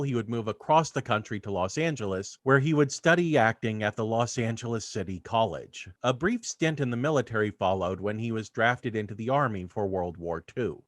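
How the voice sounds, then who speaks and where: A man's voice: on the low side, dry recording, studio quality. A man hosting a video, reading scripted narration. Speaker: video host.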